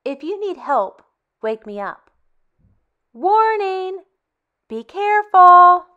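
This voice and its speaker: A woman hosting a storytime for young children, reading and chatting in an animated, expressive, deliberate way. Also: very high voice, phone-quality sound. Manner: animated, expressive, deliberate phrasing with dramatic hushes. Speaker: storytime host. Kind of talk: children's read-aloud, addressing young children.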